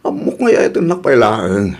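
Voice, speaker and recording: A man's voice: medium pitch, wildly swooping pitch, moderate pace, vocal fry, darkish tone. Lovesick, pleading jilted man played by an actor. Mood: pleading, hurt. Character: lovesick jilted man who cannot move on. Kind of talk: comedy radio drama acting.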